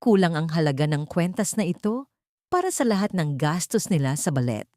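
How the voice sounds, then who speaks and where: A woman's voice: lowish voice, sing-song, a little fast, mellow, no room sound, clean studio sound. Cold, wounded male tycoon, played by a woman, accusing and interrogating, for a romantic audio drama. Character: cold, suspicious wealthy male employer, bitter and hurt.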